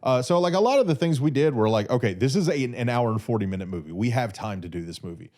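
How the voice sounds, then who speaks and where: A man's voice: medium pitch, expressive, quick pace, darkish tone. A man in his thirties from North Carolina hosting a podcast, answering listener questions in a casual, rambling way with lots of fillers, a little stuffy from a cold. He talks into a studio mic. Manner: rambling, many fillers and restarts. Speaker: podcast host. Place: small room with brick wall, seated in armchair. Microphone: red studio mic on boom arm.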